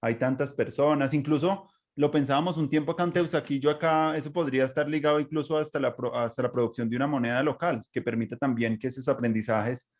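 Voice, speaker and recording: A man with a medium-pitched voice hosts a podcast interview. He talks reflectively and conversationally, building long, winding sentences and repeating words as he goes. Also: steady tone, quick pace, hardly any pauses. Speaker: podcast host.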